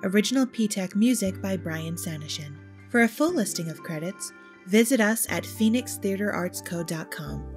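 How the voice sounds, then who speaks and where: A woman reads the closing credits of a radio play in a friendly, even voice at a middling pitch. Soft music plays faintly behind her. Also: moderate pace. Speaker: announcer reading the credits. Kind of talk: scripted closing announcement.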